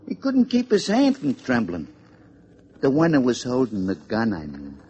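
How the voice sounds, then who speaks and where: An actor playing a teasing, streetwise lunch-wagon cook in a 1950s radio play, full of gruff, sardonic banter. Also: male voice, medium pitch, huge pitch swings, moderate pace. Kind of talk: 1950s American radio acting. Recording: lo-fi old radio recording, slight noise.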